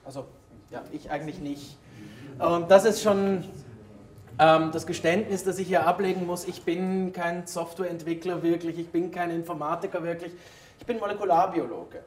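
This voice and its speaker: A man's voice: high voice, fairly even intonation, moderate pace. A man giving an explanatory conference talk in an informal way, addressing his audience directly as a native German speaker. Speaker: conference speaker, former molecular biologist. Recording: roomy echo, distant microphone in hall.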